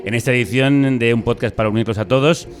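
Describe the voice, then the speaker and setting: A man's voice: mid-range voice, fast. A man hosting a podcast in a casual, playful way. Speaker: podcast host.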